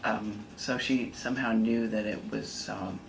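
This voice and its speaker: A middle-aged man recalling his schooling in a gentle, meandering way. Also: on the low side, steady tone, measured pace, gravelly, background noise. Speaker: interviewee. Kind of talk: casual recollection.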